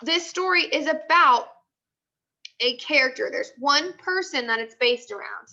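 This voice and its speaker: A woman's voice: sits high, animated, a little slow, bright, forceful tone, highs cut off. A woman teacher leading a live online class discussion, prompting with repeated questions and short commands.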